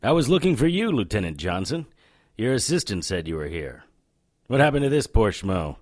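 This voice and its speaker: World-weary supernatural detective, low pitch, dry close recording, flippant, sardonic, audio drama. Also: male voice, very animated, moderate pace, no room sound. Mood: breezy, cocky. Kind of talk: noir audio drama narration and dialogue.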